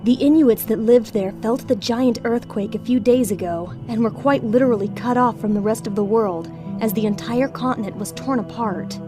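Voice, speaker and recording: A woman's voice: a little high, moderate pace, hardly any pauses, music in the background. A woman reading scripted story narration for an audio drama.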